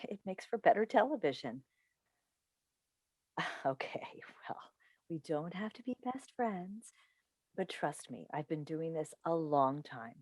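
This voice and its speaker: A woman's voice: deep voice, lively intonation, slow pace, long pauses, darkish tone, slightly rough, dry recording, full character voice. A woman in a staged play reading, playing a seasoned, composed talk show host and mother who tries to placate people. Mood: patient, diplomatic. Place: indoors on a Zoom call. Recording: dry video call recording.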